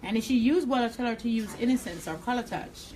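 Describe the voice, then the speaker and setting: A woman's voice: a little high, fast, darkish tone, rough recording. A woman with a Jamaican accent, a hairstylist giving a live tutorial in a salon, talking bluntly and directly. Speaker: hairstylist and educator. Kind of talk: live tutorial while cutting hair. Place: hair salon.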